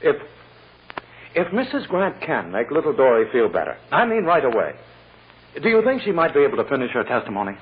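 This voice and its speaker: A man's voice: mid-range voice, huge pitch swings, fairly quick, a little hiss or hum. An American actor playing a fussy, indignant male attorney in an old radio drama, stuffy and emphatic. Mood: protesting. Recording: narrow, tinny old radio recording.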